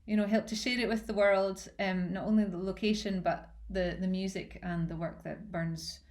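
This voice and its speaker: A woman's voice: medium pitch, steady tone, moderate pace, darkish tone. A woman with a Scottish accent, a musician giving an informal introduction of herself at an online meeting. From south-west Scotland. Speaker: musician, singer and music educator.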